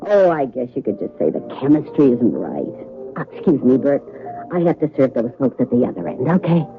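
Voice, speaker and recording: An actress playing a blunt, unimpressed barmaid in a 1970s radio drama, matter-of-fact and dismissive. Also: female voice, extremely low pitch, very animated, brisk, heavily acted. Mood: apologetic, firm. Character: blunt, unimpressed barmaid, firmly turning down a suitor. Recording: tinny narrowband vintage radio, faint music.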